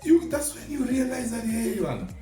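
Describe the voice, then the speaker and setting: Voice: mid-range voice, very animated, normal speed, lightly acted. An adult speaker playing a friendly, encouraging mentor who explains things informally and emphatically with homely examples, in a dramatized conversation. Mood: amused, instructive. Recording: echoey room, distant mic, faint music behind.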